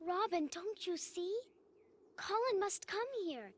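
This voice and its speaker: A woman playing a spirited, headstrong young girl in an animated drama, blunt, bossy and emphatic. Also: very high voice, slow pace, a little hiss or hum, heavily acted. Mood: earnest.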